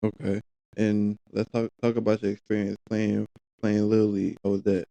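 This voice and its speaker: A man's voice: lowish voice, level tone, normal speed, vocal fry, warm tone, muffled recording. A man hosting a podcast, greeting listeners casually and asking his guest short, laid-back questions. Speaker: podcast host.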